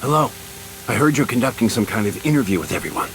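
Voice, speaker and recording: Strict army commander in a game, formal, fed up, mid pitch, faint hiss. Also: male voice, very animated, fast, low-quality recording. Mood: formal, reserved. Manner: formal, scolding, exasperated. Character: stern, long-suffering male military commander. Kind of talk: video game voice drama.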